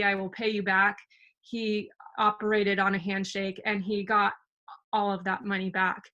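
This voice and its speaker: A woman's voice: mid-range voice, pushed and bright. A young woman, a documentary filmmaker, telling a historical story at an online community meeting over a video call, earnest and full of fillers.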